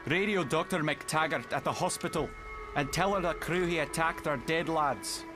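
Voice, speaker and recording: A man playing a gruff, cocky police sergeant in a motion comic, blunt and swaggering. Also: fairly high pitch, normal speed, music in the background, lightly acted. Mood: firm, coaxing.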